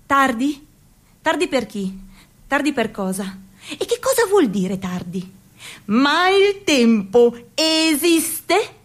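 Young woman, stage-trained narrator, slow and dramatic, slightly high voice, whispers and cries. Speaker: narrator and performer. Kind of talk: theatrical monologue in a radio documentary.

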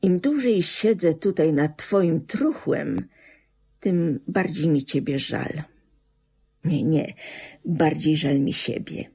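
An actress in a radio drama playing a bitter, resentful middle-aged widow, reproachful and emotionally swinging, with a husky, creaky voice. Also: female voice, low-pitched, lively intonation, takes it slowly, dark tone, heavily acted. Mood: resentful, self-pitying. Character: bitter, resentful widow talking to her dead husband.

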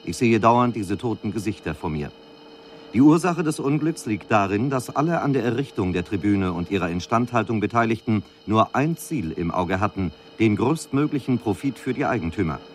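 A man's voice: lowish voice, expressive, normal speed, a little hiss or hum, close to an everyday voice. An actor playing a male news reporter who delivers a factual, formal bulletin in a radio drama. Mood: sober. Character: male news reporter soberly announcing a city disaster.

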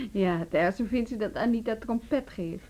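An actress playing an obliging, hesitant music school teacher in a naturalistic radio drama. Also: female voice, a little high, big pitch swings, measured pace, mellow, slight background noise. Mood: amused, casual. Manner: hesitant, conversational.

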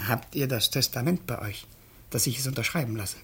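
An actor playing a wily, obsequious servant in a classical radio comedy, with oily and exaggerated mock deference. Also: male voice, mid-range voice, brisk, fairly bright tone, husky. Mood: confidingly sly.

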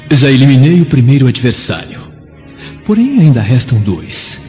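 An actor playing a vengeful, scheming freed slave in a radio drama, gloating and menacing in a theatrical way. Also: male voice, mid-range voice, huge pitch swings, measured pace, mellow. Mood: gloating menace. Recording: dull lo-fi, long reverb, music behind.

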